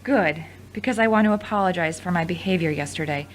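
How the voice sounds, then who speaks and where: A woman's voice: on the low side, fairly quick, a little hiss or hum, lightly acted. Frank, teasing woman in an acted part. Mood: apologetic, teasing. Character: frank, teasing, supportive ex-girlfriend and studio manager. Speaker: actor in an audio drama. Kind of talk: conversational scripted audio drama acting.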